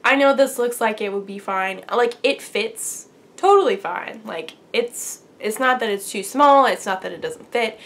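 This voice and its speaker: A woman's voice: fairly high pitch, expressive, measured pace, a bit bright. A young woman host with a stuffy, allergy-congested voice, chatting casually and upbeat about her crafting week. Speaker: podcast host. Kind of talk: casual crafting chat to camera.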